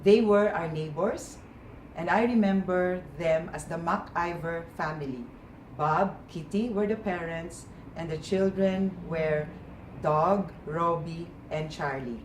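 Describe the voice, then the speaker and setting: A woman from the Philippines calmly telling a personal story of her past. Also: lowish voice, slow. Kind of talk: personal story told to a group. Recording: roomy with faint noise.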